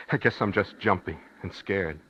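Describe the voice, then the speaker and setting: A man's voice: low voice, heavy background noise. Anxious American everyman, muffled old radio. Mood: uneasy, wary. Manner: halting, repetitive, plain-spoken. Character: anxious married man who ran someone over, confessional narrator. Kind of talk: 1950s radio thriller acting. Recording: muffled 1950s radio recording with music.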